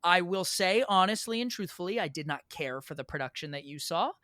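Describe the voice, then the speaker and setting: A man's voice: high voice, lively intonation, fairly quick, sharp tone, dry recording, studio quality. An American man chatting casually as a podcast guest, emphatic and prone to repeating words. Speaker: podcast guest, theatre performer.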